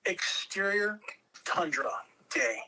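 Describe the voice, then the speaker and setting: A woman's voice: mid-range voice, lively intonation, takes it slowly, vocal fry, pushed and bright, poor audio. A woman reading scripted narration at a table read, telling the action in a dramatic storytelling way. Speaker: script reader.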